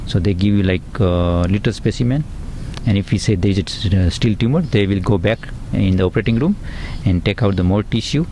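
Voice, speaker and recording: A man's voice: on the low side, a little fast, mellow, a little hiss or hum. A middle-aged man with an Indian accent, a pathologist guest giving earnest explanatory answers with frequent fillers and "right, right".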